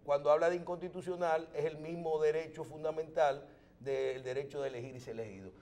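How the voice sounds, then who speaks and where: A man's voice: on the high side, steady tone, fairly quick, a little mellow. A middle-aged man hosting a TV interview in a studio, building long questions with hesitant restarts, on a lapel mic. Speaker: TV host.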